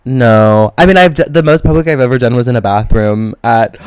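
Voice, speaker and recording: A young man co-hosting a casual podcast, animated and giggly, jumping in with quick remarks. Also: mid-range voice, clear, resonant tone, dry recording, clean studio sound. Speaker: podcast co-host. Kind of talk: casual chat.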